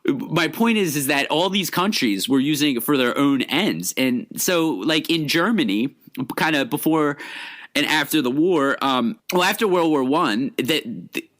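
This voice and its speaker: An American man co-hosting a casual film history discussion, explaining things in a halting, rambling way with many false starts. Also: on the high side, animated, fairly bright tone. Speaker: podcast co-host.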